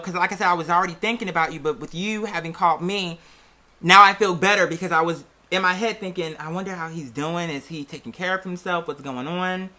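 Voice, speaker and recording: A man's voice: high voice, sharp tone. A man chatting casually and affectionately as a vlog host, earnest and often repeating his phrases for emphasis.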